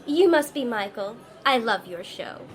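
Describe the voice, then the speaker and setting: A woman's voice: on the high side, animated, measured pace, noisy background. A woman playing a tenacious, hot-tempered head chef in an audio drama, with an emphatic way of talking. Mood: candid, reflective.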